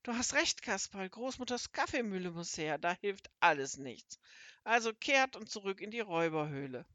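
A woman's voice: mid-range voice, animated, normal speed, fairly bright tone, no room sound, natural voice. Eager young boy sidekick for children's audiobook, played by a woman. Wide-eyed, swooping delivery. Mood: dismayed, amazed. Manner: exclaiming, eager.

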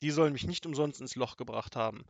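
A man voicing a gruff, vengeful middle-aged robber in a storybook reading, growling and boastful, with loud threats. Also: mid-range voice, fast talker, no room sound, close to an everyday voice. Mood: grumbling vengeful. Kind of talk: storybook audiobook acting.

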